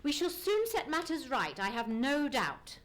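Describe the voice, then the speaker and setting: A woman playing a courteous, self-assured gentleman detective in a live radio play, with formal, deductive delivery. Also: sits high, sing-song, unhurried, heavily acted. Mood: assured, reassuring. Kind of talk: live old-time radio play acting. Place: live on a theatre stage.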